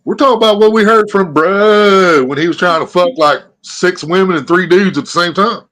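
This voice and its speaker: A man hosts a casual livestream, telling long, rambling, profane stories. His voice sits a bit above the usual pitch for a man. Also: normal speed, fairly bright tone. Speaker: livestream host.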